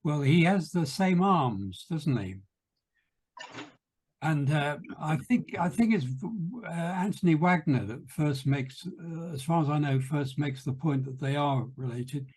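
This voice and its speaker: Rambling man from Chester, a little high. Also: a little slow, a little mellow, breathy edge, rough recording. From Chester, England. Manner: rambling, loses his words. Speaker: panel guest. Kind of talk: casual discussion.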